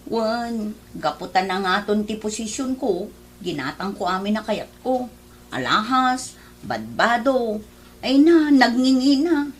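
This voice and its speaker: A woman with an Ilocano accent playing a vain, spendthrift working wife deep in debt in a comedy radio drama. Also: lively intonation, slow, a little hiss or hum. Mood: pleading.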